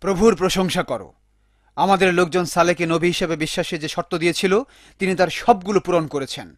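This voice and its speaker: A man voicing a pious, earnest villager who scolds and instructs in an animated religious cartoon. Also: high voice, fast talker, no echo, studio quality, lightly acted. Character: pious, admonishing male villager guarding a sacred well.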